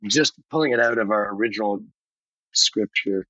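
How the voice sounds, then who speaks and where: A man's voice: fairly low pitch, normal speed, sharp tone, no echo, muffled recording. A man speaking conversationally as a guest in a podcast interview.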